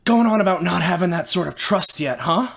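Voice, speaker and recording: A man's voice: sits high, lively intonation, brisk, gravelly, a bit bright, full character voice. A man acts out a battle-worn cyborg woman from a tabletop game. The lines come curt and sardonic, like dry challenges thrown at rivals. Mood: wry, challenging.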